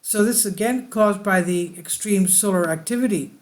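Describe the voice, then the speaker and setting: A host reading space weather news aloud in a halting way, stumbling over some words. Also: medium pitch. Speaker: video channel host.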